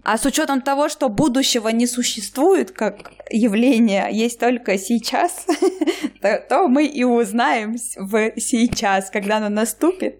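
A woman co-hosting a casual podcast, talking in a lively, rambling way, often laughing and restarting her sentences. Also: fairly high pitch, measured pace. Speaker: podcast co-host.

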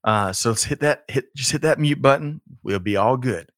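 A man's voice: mid-range voice, moderate pace, a bit bright, no echo, studio quality. A man hosting a show, upbeat and chatty, often correcting himself. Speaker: show host. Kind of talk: podcast hosting.

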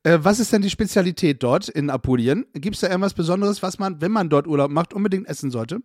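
A man's voice: high voice, expressive, fast talker, darkish tone, dry recording, studio quality. A man interviewing the host, teasing him and hesitating with fillers. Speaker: guest interviewer. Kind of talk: casual conversation.

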